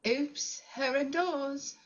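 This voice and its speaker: A woman's voice: sits high, steady tone, very slow, lo-fi sound. A woman reading the part of a cheeky, curious young female companion at a script table read, pressing with teasing questions.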